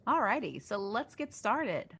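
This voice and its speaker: A woman journalist hosting a podcast, reading a scripted introduction in a clear, measured way and then putting questions to her guests. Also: a little high, very animated, a bit bright.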